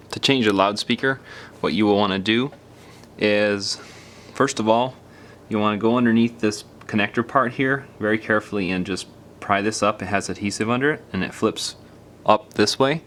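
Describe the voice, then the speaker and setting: A man's voice: fairly low pitch, moderate pace, a bit bright. A man giving casual, step-by-step hands-on instructions as a repair tutorial presenter, patiently explaining in an informal, folksy way.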